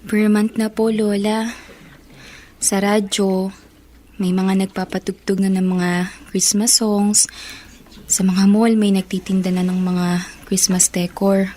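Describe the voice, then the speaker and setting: A woman's voice: medium pitch, little pitch movement, slow, long pauses, warm tone, a little hiss or hum, natural voice. A woman playing a wistful, loyal young Filipino granddaughter in a radio drama, with an earnest, plaintive delivery. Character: wistful, loyal young granddaughter missing her absent mother.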